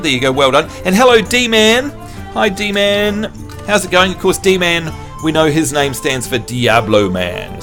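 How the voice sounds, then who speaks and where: A man's voice: mid-range voice, fairly bright tone. A man from New Zealand chatting casually with his live-stream viewers, playful and teasing as he quizzes them. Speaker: game streamer.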